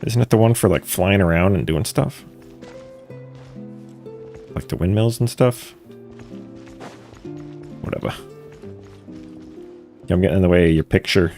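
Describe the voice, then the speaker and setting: A man's voice: low voice, measured pace, pauses often, darkish tone. A man giving casual live-streamed game commentary with offhand, dry asides. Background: faint game music.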